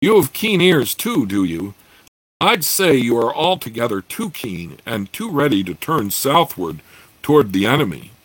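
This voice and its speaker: A man's voice: medium pitch, very animated, unhurried, no room sound, pitched above the performer's own voice. A man voicing a sly, sneering skinny male elf who mocks a servant. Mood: mocking.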